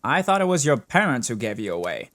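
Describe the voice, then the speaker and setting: A man voicing a suave, genteel, coolly menacing gentleman hunter in a dramatic comic dub, with wordy courtly phrasing. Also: mid-range voice, very animated, fast talker. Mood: soothing, courtly. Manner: genteel, wordy, calls people dear and darling. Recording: voice over clear background music.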